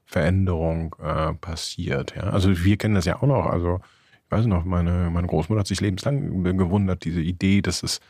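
A man co-hosting a book podcast, talking in a thoughtful, halting way with many fillers and restarts. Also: low voice, fairly even intonation, a little mellow, breathy edge, no room sound, clean studio sound. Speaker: podcast co-host, book critic. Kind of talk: casual book discussion.

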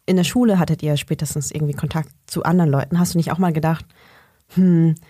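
A woman's voice: sits low, fairly even intonation, warm tone, husky, dry recording, studio quality. A woman hosting a podcast, asking curious questions in casual chat. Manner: quick, curious questions with laughs. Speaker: podcast host.

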